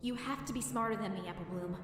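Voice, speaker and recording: Woman acting a gentle pony princess, echoing hall. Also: mid-range voice, fast, lightly acted. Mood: gentle pleading. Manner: gentle, earnest crooning. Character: grieving young female pony princess, gentle, pleading, desperate. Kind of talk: audio drama acting. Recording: echoey hall, distant from mic. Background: prominent music.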